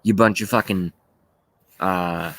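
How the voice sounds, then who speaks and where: Man, mid-thirties, lowish voice, dry close sound, casual livestream rant, rambling, halting, salty language. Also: fairly even intonation, a bit bright, no echo. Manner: rambling, halting, often swearing. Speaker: livestream host. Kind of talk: casual political rant while reading live chat.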